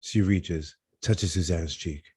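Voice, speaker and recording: Deep, husky man reading stage directions. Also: a little slow, dark tone. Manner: stumbles over words and corrects himself. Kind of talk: live screenplay table read narration.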